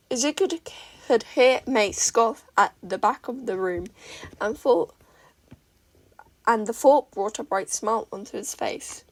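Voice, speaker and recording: A woman reading a fan fiction story aloud in a steady, even storytelling manner. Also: mid-range voice. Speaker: podfic reader.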